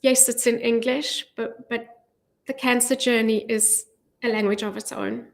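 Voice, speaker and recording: A woman's voice: fairly high pitch, steady tone, vocal fry. Earnest middle-aged woman, radio guest. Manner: earnest, measured, with frequent ums. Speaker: guest, charity volunteer. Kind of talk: radio interview. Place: radio studio. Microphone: studio mic with headphones.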